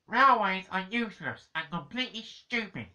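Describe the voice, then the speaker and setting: A man's voice: high voice, expressive, moderate pace, pushed and bright, voice pushed up, heavily acted. A man voicing a scheming villain who hates railways, speaking in a cold, curt, sneering way.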